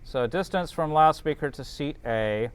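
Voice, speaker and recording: A man's voice: on the high side, expressive, moderate pace, clean tone. A man teaching an informal class, thinking aloud as they work through calculations and checking in with students.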